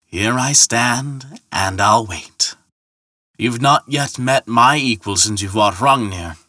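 Man doing a scathing, insolent ferryman, forceful delivery. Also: mid-range voice, no room sound, studio quality, far from the mic. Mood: defiant. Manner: scathing, slow, mocking drawl. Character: sly, taunting, insolent male ferryman. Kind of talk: dramatic mythological storytelling.

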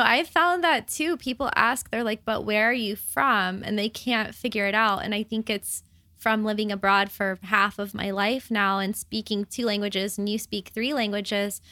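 A woman's voice: medium pitch, bright, forceful tone, no room sound, studio quality. A woman from the United States hosting a podcast, asking questions in a friendly way, thinking aloud with fillers. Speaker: podcast host. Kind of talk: casual interview chat.

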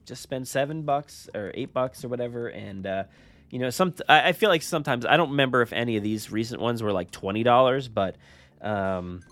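A man's voice: mid-range voice, a little fast, fairly bright tone, no room sound. A man hosting a podcast in casual conversation, rambling along in a self-deprecating way. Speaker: podcast host.

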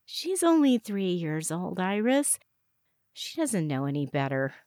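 A woman voicing a patient, placating young mother in an audiobook, with a gentle, reasoning manner. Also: fairly low pitch, sing-song, a little slow, no room sound, clean studio sound. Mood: gently placating.

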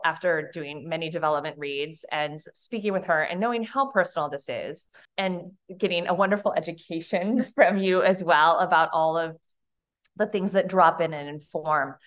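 Thoughtful low-voiced woman actor. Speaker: actor and artistic director guest. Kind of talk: podcast interview answer.

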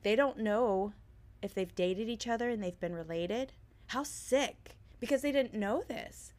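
A woman with a hoarse, raspy voice that is still on the mend, co-hosting a casual true crime chat. She talks in a chatty, animated way as she relays a story. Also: a little high, a little slow. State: hoarse, recovering voice. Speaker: podcast co-host.

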